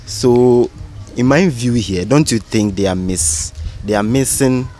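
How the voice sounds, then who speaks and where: A man's voice: mid-range voice, measured pace, warm tone, faint music behind. A man with a Ghanaian accent, a guest in a casual conversation, hesitant and thoughtful as he searches for words.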